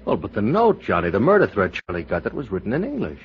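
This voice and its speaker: An exasperated male film director who tries to smooth things over. The voice is low and animated, in old radio drama style. The recording is dull and lo-fi. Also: fast. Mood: puzzled.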